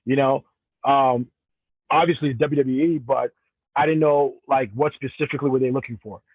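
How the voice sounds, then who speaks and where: A man's voice: medium pitch, steady tone, moderate pace, husky, dry recording. Cameroonian man on a tinny phone line. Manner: rambling, many restarts and self-corrections. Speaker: professional wrestler, guest. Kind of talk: casual interview answers.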